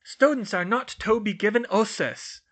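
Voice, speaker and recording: Man putting on a loud, paranoid old medieval woman. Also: high voice, moderate pace, voice pushed up. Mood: indignant, paranoid. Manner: loud, indignant, archaic Middle English phrasing.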